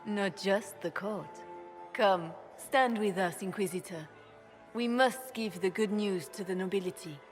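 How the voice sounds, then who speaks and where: An actress playing a regal, commanding empress in a fantasy game, speaking formally and imperiously. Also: female voice, mid-range voice, measured pace, music in the background, lightly acted. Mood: gracious. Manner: stately, imperious.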